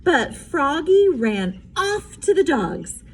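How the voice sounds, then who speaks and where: A woman teacher reading a storybook aloud with simple, emphatic explanations and an expressive delivery. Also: high voice, slow, a little hiss or hum.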